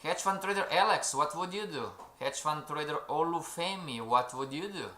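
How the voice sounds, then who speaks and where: A man's voice: on the high side, moderate pace, pushed and bright. A man running a live-streamed trading lesson who keeps calling out "everybody", asks "okay?" and reads chat messages aloud. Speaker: trading instructor. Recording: roomy echo.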